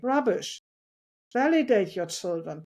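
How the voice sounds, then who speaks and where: A woman talking as a podcast guest about parenting, earnest and reflective, with a loud voice and light laughs. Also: mid-range voice, sing-song, a little slow. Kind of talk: casual interview conversation.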